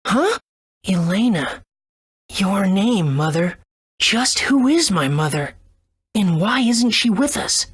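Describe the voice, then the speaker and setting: A man's voice: high voice, animated, takes it slowly, long pauses, fairly bright tone, breathy edge, full character voice. A man playing an eager, wide-eyed boy hero in a video game, youthful and earnest. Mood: bewildered. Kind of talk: English video game voice acting.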